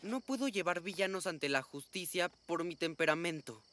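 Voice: mid-range voice, animated, normal speed, heavy background noise, lightly acted. An actor voicing a hot-tempered but earnest armadillo strongman in a cartoon, blustering, with a Latin American Spanish accent. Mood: grumbling. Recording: loud music behind the voice.

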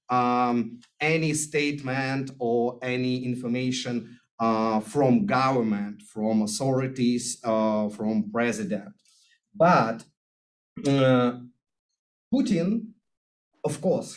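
Slow, halting Ukrainian-accented man. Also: mid-range voice. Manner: halting, searching for words with many fillers. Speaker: guest speaker. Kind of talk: speech at a podium. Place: lecture room with audience. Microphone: podium microphone.

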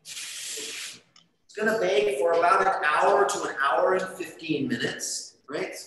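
A man cooking on a studio kitchen set, explaining each step in a relaxed, chatty way. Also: high voice, takes it slowly. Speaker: chef demonstrating a recipe. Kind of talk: live cooking demonstration. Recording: echoey kitchen with light background noise.

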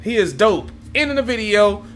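A young man reacting to gameplay from a home room, excitable and swearing freely as he talks to his viewers. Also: sits high, normal speed, soft background music. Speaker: gaming YouTuber. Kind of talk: casual gameplay reaction commentary. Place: home room with gaming chair.

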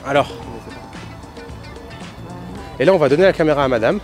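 A man's voice: fairly high pitch, racing. A young man hosting joking street interviews outdoors, teasing people with absurd questions in a casual tone. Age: young adult. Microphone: handheld interview microphone. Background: music, street sound.

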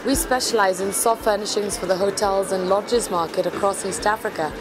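A woman's voice: medium pitch, brisk, rough recording. A woman exhibitor presenting her company in a promotional interview. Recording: loud music over voice.

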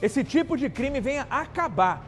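A man's voice: very high voice, very animated, normal speed, faint music behind. A man from anchoring a crime news show, talking emphatically and indignantly straight to viewers. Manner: indignant, repeats and addresses viewers directly. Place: TV studio.

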